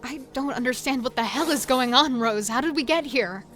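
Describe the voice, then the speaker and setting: A woman's voice: high voice, moderate pace, fairly bright tone, faint music behind. A woman playing a confused, insistent, frightened sister who pleads urgently in an audio horror drama.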